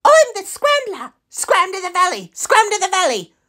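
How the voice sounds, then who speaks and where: A man voicing an excitable, youthful daredevil quad bike from a children's cartoon. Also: extremely high pitch, moderate pace, exaggerated voice. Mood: excited.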